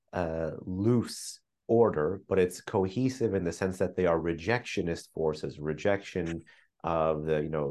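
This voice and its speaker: A man with a very deep voice gives long analytical answers in an interview. He speaks in a measured way, stacking phrases into lists and pausing on "uh" fillers. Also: a little mellow. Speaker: think tank analyst guest. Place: remote, joining from another city.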